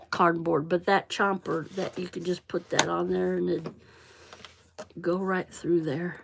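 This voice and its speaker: Woman, Central Illinois accent, middle-aged, slow unhurried pace, rambling chatty livestream talk, mutters to herself. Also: fairly low pitch, vocal fry, background noise. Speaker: craft livestream host. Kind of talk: casual chat while crafting.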